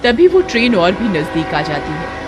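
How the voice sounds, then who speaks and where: A woman's voice: fairly low pitch, sing-song, moderate pace, background noise. A woman giving scripted narration of a horror story.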